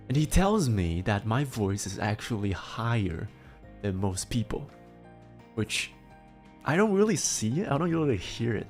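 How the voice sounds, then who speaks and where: A man streaming a casual chat, his voice raspy and strained, rambling and swearing as he talks. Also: lowish voice, a little mellow. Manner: rambling, swearing, laughing often.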